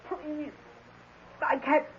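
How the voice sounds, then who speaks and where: A woman playing a migraine-stricken wife, weak and in pain, pleading haltingly in old-time radio drama style. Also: sits high, little pitch movement. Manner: halting, moaning pleas. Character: suffering wife in agony from migraine. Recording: very noisy, dull old radio recording.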